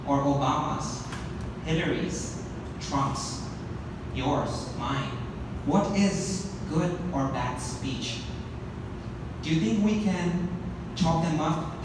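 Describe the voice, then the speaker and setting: A man in his twenties, a native Urdu speaker from Pakistan, giving a public talk full of rhetorical questions. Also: mid-range voice. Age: 20s. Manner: rhetorical questions, lively delivery. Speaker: speaker, app founder. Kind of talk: public speech. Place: large echoey hall. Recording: distant echoey hall with noise.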